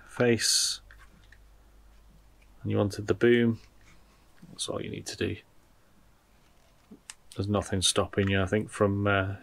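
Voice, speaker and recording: A man with a low voice and plenty of gravelly creak talks through a product as he handles it. His delivery is informal and unscripted, like someone thinking aloud during a casual unboxing. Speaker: product reviewer.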